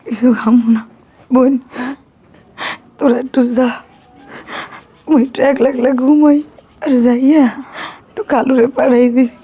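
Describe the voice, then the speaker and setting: A woman's voice: high-pitched, moderate pace, long pauses, dark tone. A woman playing an ailing, despairing elder sister in a drama, pleading tearfully in a Barisal accent from southern Bangladesh. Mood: tearful, weary. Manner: plaintive, pleading. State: crying. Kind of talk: emotional drama acting.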